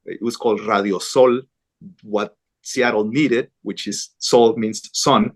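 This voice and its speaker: A man's voice: fairly low pitch, steady tone, takes it slowly. A middle-aged man from Colombia, a news anchor, talking earnestly in an interview, with frequent restarts and fillers. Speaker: interview guest, Spanish-language news anchor. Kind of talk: conversational interview.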